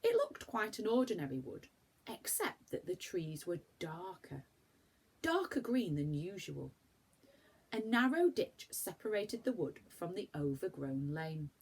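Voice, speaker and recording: Lively woman reading a children's story. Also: fairly low pitch, husky. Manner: animated, deliberate storytelling for children. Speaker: teacher reading a storybook aloud. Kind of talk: expressive children's story narration.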